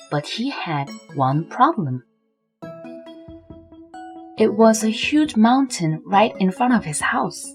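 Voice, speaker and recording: A woman reads a children's story as its narrator. Her voice is of middle pitch, and gentle background music plays beneath the scripted telling. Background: soft music.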